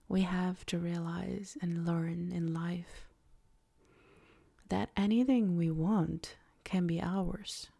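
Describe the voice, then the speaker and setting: Breathy, unhurried woman speaking soft ASMR. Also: lowish voice. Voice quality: breathy, near-whispered soft voice. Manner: gentle, deliberate phrasing with pauses. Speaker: ASMR creator and meditation guide. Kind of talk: soft-spoken ASMR guided meditation talk.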